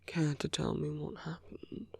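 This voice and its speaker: A man voicing a charming, flirtatious villain with a tender side in an intimate ASMR roleplay, soft and teasing, often dropping to a whisper. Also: on the high side, little pitch movement, a little slow, vocal fry, breathy edge, exaggerated voice. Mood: earnest, gentle.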